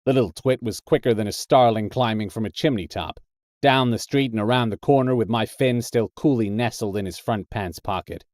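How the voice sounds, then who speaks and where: A man voicing a tough, thickset American drifter who narrates his own purgatory story in a deliberate, hard-boiled, colourful way. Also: lowish voice, darkish tone, no echo, clean studio sound, close to an everyday voice. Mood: brooding, deliberate. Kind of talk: dramatic first-person short story narration.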